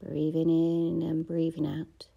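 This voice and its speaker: A woman guiding a gratitude body scan meditation, speaking softly and slowly and often dropping into a half-whisper. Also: low-pitched, completely flat delivery, vocal fry, husky, muffled recording. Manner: slow, soft-spoken, often half-whispered. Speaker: meditation guide. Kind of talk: guided meditation.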